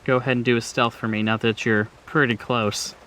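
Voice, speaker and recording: A man's voice: medium pitch, level tone, a little fast, a bit bright, a little hiss or hum. A man narrating a tabletop roleplaying game as game master in an informal, conversational way.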